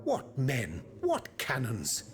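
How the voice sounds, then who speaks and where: A man's voice: mid-range voice, huge pitch swings, slow pace, exaggerated voice. A man playing a stern, cautious scientist of revolutionary France in a video game, formal and reproachful. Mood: reproachful, alarmed. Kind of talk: video game cutscene acting. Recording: faint background noise.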